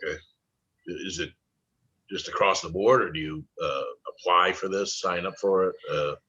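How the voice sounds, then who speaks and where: A man with a very deep, husky voice asks questions slowly and hesitantly, with many stops and fillers. His audio sounds dull and far off, like a remote meeting connection. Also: bright, forceful tone. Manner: hesitant, full of fillers and restarts. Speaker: board member asking questions.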